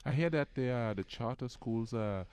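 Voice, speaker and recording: A man hosting a podcast interview, laid-back with a deep voice and a bit of island slang. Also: normal speed, darkish tone, clear, resonant tone. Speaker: podcast host. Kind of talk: casual interview.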